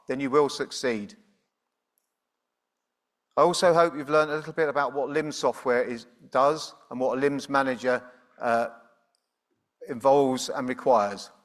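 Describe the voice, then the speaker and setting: A man's voice: medium pitch, fairly bright tone. A man closing a short public talk about his career in a wry manner. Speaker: speaker finishing a career talk.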